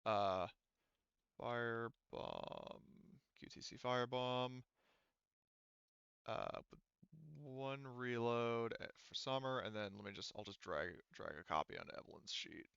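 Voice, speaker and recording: Man, game master, halting casual rules talk, smooth voice, dry slightly muffled recording. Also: mid-range voice, lively intonation, fairly bright tone, dry recording. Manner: halting, self-correcting.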